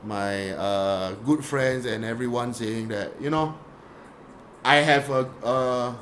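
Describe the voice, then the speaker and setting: A man's voice: mid-range voice, slow pace, fairly bright tone, a little hiss or hum. Rambling Singaporean man hosting a podcast. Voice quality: says he has a radio voice. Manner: rambling, storytelling, frequent restarts and fillers. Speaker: podcast host. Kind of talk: casual chat. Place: small podcast room. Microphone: studio podcast mic.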